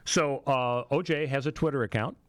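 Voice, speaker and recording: A man's voice: medium pitch, normal speed, fairly bright tone, dry recording. An American man hosting talk radio, giving conversational political commentary with wry rhetorical questions. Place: radio studio.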